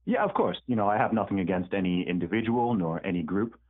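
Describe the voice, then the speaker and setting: Deep-voiced man on a tinny phone line. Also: quick pace. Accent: mixed British and American. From born in the UK, raised in Saudi Arabia, Nigerian parents. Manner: laid-back, hesitant with ums and repeats. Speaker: guest, rapper. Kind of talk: remote interview answers. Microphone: remote call line.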